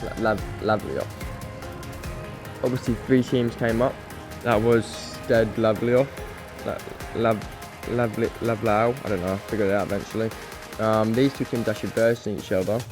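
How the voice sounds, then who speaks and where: A man's voice: fairly low pitch, steady tone, moderate pace, music in the background. A man who is not a native English speaker, hosting a football management game video with play-by-play commentary. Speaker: YouTube gaming host.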